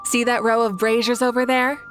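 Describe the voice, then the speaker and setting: An actress playing a confident, caring female leader who speaks in a calm, reassuring way. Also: a little high, brisk, over music, natural voice.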